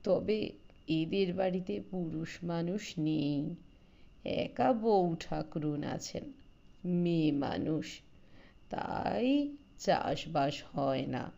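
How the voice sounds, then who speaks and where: A woman's voice: lowish voice, animated, very slow, gravelly, pauses often, warm tone, dull audio, heavily acted. A woman voicing a talkative old village man, folksy, coaxing and boastful, in an audio story.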